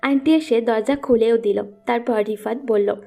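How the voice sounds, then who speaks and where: A woman's voice: a little high, moderate pace, vocal fry, warm tone. A woman narrating a scripted romantic story as the storyteller.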